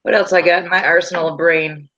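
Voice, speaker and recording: A woman with a smoker's voice, chatting and singing hymns in an unhurried way over a video call, humming when she forgets words. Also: on the low side, steady tone, fairly bright tone, lo-fi sound, a little hiss or hum. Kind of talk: casual chat and singing hymns.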